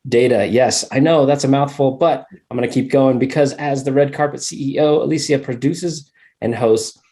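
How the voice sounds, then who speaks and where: A man's voice: mid-range voice, normal speed, dark tone. A man co-hosting a podcast, introducing a guest with stumbling asides and asking questions. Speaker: podcast co-host. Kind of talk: podcast interview.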